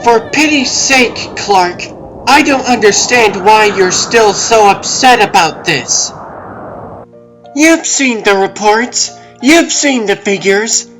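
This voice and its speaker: A man's voice: sits high, lively intonation, slow pace, fairly bright tone, soft background music, exaggerated voice, poor audio. A man voicing a confident, ambitious woman reporter in a comic book dub, with an insistent, emphatic delivery. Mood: exasperated, insistent. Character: confident, ambitious adult woman reporter arguing her case.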